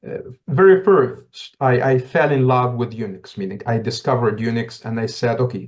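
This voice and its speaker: A middle-aged man from Tunisia, a podcast guest telling his story in a reflective, halting way, with many restarts. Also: mid-range voice, vocal fry, a little mellow. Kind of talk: casual interview talk.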